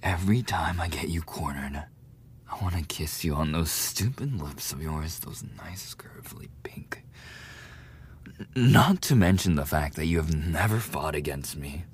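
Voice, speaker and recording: A young man playing a lovesick, defensive school bully in a boyfriend ASMR roleplay, speaking haltingly in a husky, breathy voice. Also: a bass voice, exaggerated voice. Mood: grudging, confessing. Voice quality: husky, breathy, often dropping to a whisper. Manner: halting, swearing, coughing between phrases.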